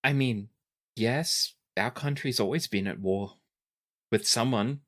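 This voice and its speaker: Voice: medium pitch, slow, fairly bright tone. Reserved, hesitant young princess played at a tabletop. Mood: matter-of-fact. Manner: hesitant, reserved, slightly formal. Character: reserved young princess, reluctant, formal, matter-of-fact about war.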